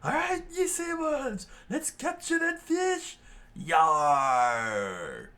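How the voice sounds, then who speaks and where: A man voicing rowdy, fierce pirates in a high, screaming voice, reading an adventure story. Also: huge pitch swings, drawn-out words, bright, forceful tone, heavily acted. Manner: shrill screaming.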